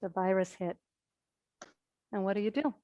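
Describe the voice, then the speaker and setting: An actress playing an obsessive, self-important scientist in a staged reading, delivering a theatrical, boastful, deliberate monologue. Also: female voice, mid-range voice, warm tone, lightly acted. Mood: intense, confiding. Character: obsessive, self-important woman stress scientist addressing the audience.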